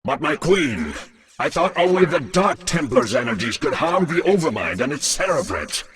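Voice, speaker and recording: A man's voice: on the high side, big pitch swings, normal speed, fairly bright tone, husky, full character voice. A man playing a deferential military lieutenant in a sci-fi game, reporting formally to his queen. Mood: doubtful deference. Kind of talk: dramatic sci-fi game voice acting.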